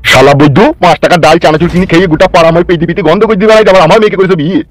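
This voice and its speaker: A man's voice: fairly high pitch, very fast, lightly acted. A man voicing a furious, foul-mouthed middle-aged village father in a comic cartoon, ranting. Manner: hectoring, abusive rant. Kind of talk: comic Bengali cartoon dubbing.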